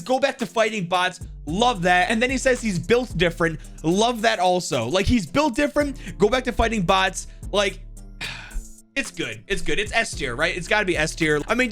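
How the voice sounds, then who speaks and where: A man's voice: high-pitched, fairly bright tone. A young man giving casual, slangy ranking commentary as a gaming video host, talking to viewers. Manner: informal, slangy, addresses viewers as guys.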